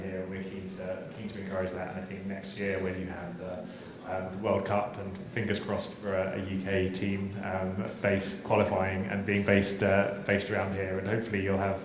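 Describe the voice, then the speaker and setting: A man speaking on a consulate panel, halting with frequent ums and repeated words. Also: low-pitched. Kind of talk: panel discussion remarks. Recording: echoey noisy hall.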